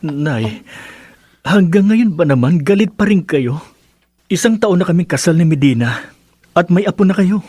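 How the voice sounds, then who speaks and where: Earnest Filipino husband, dry sound. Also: male voice, high voice, lively intonation, normal speed, long pauses, mellow, breathy edge, dry recording. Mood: pleading. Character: earnest, devoted young husband and dutiful son. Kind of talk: radio drama acting.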